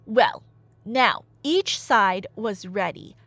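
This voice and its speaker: A woman's voice: medium pitch, animated, extremely slow, a bit bright, dry recording. A woman telling a story in a casual, rambling ASMR style, playful and slangy with wry asides. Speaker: storyteller playing the listener's nerdy girlfriend.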